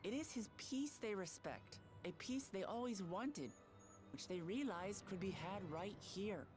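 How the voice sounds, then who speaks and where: Woman doing young royal hero in a game, earnest and firm, mid pitch, faint music. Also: expressive, measured pace, natural voice. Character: earnest young claimant to a throne, peace-minded, firm. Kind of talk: voicing game cutscene dialogue.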